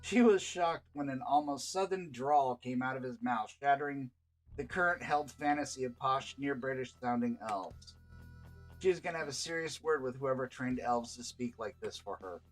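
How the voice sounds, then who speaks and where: A man's voice: mid-range voice, normal speed, low-quality recording. A man narrating a written fantasy tabletop story aloud as the game's storyteller. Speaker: game storyteller reading aloud. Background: background music.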